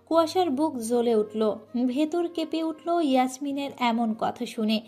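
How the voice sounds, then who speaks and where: Mellow-voiced woman narrating a dramatic story. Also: high voice, measured pace, faint music behind. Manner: emotive, dramatic reading with occasional restarts.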